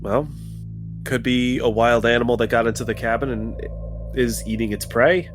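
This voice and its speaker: A man's voice: medium pitch, fairly even intonation, moderate pace, over music. A man chatting casually as a player in a tabletop roleplaying game.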